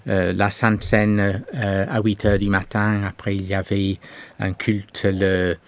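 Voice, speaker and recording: Slow hesitant older man, English accent. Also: on the low side, level tone, no room sound. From England. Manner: slow, hesitant, many pauses and fillers. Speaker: pastor. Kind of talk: testimony interview.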